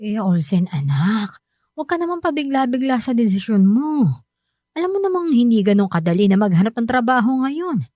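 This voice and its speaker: Mellow, sorrowful middle-aged mother. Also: on the high side, big pitch swings, brisk, no echo, clean studio sound. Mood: worried. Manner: soft, pleading, hesitant confessions. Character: gentle, sorrowful middle-aged mother pleading with grown son. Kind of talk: radio drama acting.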